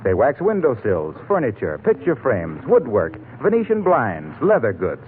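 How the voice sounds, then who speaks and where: A man delivering polished, scripted announcements and sales pitches as a 1940s radio announcer, on a tinny old recording. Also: wildly swooping pitch, normal speed, slight background noise.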